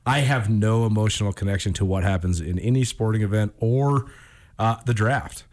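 A man's voice: lowish voice, moderate pace, darkish tone. A man hosting a sports radio show in a casual, conversational way, recorded in a studio. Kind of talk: casual radio talk and sponsor reads.

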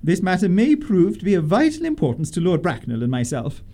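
A woman's voice: fairly low pitch, wildly swooping pitch, fairly quick, mellow. A woman playing a haughty, commanding older aristocratic lady in a comic radio play. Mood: haughty, suspicious. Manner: haughty, commanding, grandly emphatic. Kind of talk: stage comedy radio acting.

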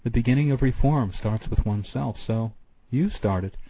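A man's voice: mid-range voice, moderate pace, a little hiss or hum. A man hosting a discussion show, talking in an earnest, reflective way. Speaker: host. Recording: tinny phone-like sound, dry.

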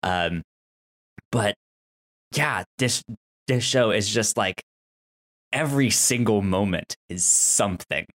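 A man co-hosting a podcast, chatting casually and enthusiastically about television in a halting way, with stuttered sounds and syllables. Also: on the low side, fairly bright tone. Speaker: podcast co-host. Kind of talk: casual TV show discussion.

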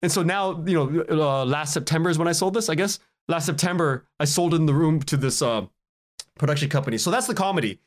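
Man, higher-than-average pitch, casual storytelling, chatty, acts out quoted dialogue, podcast guest. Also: fast. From Canada. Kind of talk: animated casual storytelling in an interview.

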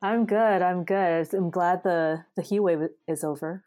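A woman in her thirties from China, co-hosting a casual podcast, talking thoughtfully with frequent pauses and restarts. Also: on the low side, fairly even intonation, a little mellow, dry recording. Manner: thoughtful, many fillers and restarts. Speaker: podcast co-host.

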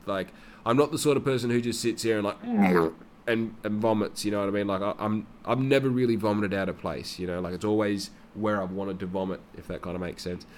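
Rambling, sweary man, low voice. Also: steady tone, a little fast, slight background noise. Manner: rambling and heavily profane. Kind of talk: casual personal monologue.

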